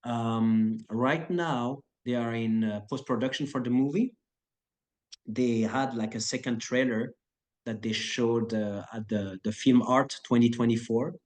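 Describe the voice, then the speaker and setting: A man's voice: mid-range voice, measured pace. Chill guy in his 30s rambling thru an interview, lots of uh and like, choreographer type. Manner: relaxed, rambling, many fillers and restarts. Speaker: action choreographer and actor. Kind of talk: casual interview chat.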